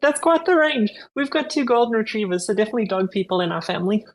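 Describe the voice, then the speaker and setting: A woman's voice: a little high, fast. A woman from Zimbabwe and South Africa with a southern African accent, chatting as a guest in a friendly, good-humoured way. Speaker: podcast guest. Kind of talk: casual chat.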